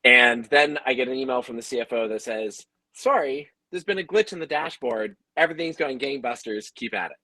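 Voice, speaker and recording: A man's voice: mid-range voice, brisk, hardly any pauses, pushed and bright, dry recording, poor audio. A man telling a personal story in a panel conversation, casual and emphatic, often dropping in "like" as he goes. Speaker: radio host and audio drama creator.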